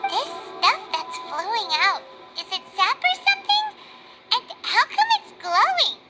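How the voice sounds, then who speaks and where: A woman voicing a small, chirpy, childlike female fairy companion in a video game, with a squeaky voice and exclamatory delivery. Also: very animated, unhurried, full character voice, rough recording. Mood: puzzled, startled. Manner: speaks of herself in the third person, exclamatory. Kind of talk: video game character dialogue. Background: music.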